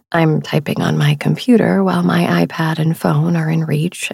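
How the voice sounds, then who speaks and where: A very breathy woman with a lowish voice and a touch of gravelly fry speaks in a hushed tone that often nears a whisper. She hosts a sleep meditation podcast with soft, soothing narration. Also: no echo, clean studio sound.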